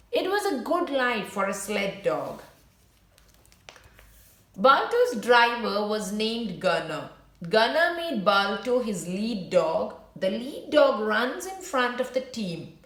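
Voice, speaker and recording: A woman reading a children's storybook aloud in a simple, deliberate way, as if to young listeners. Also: a little high, roomy sound. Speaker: children's book read-aloud narrator. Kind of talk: storybook read-aloud with brief asides to viewers.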